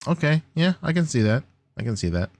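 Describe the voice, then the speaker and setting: A man in his thirties chatting casually as a podcast co-host, joking, slangy and quick to swear. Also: on the high side, sing-song, gravelly, a little mellow. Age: 30s. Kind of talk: casual chat about toys and cartoons.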